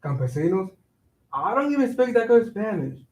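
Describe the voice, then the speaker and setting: Guy playing a wary streetwise buddy, pretty high voice, blunt and slangy, stage reading, echoey room, mic far off. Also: very animated, moderate pace, darkish tone. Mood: incredulous, wary. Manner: blunt, skeptical, slangy retorts. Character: skeptical, cautious streetwise friend, struggling young father. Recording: roomy echo, distant microphone.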